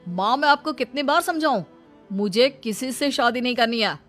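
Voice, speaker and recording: A woman's voice: fairly high pitch, lively intonation, normal speed, fairly bright tone, soft background music. A woman voicing a young husband and dutiful son in a family cartoon, placating and reluctant. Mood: protesting. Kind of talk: Hindi family cartoon acting.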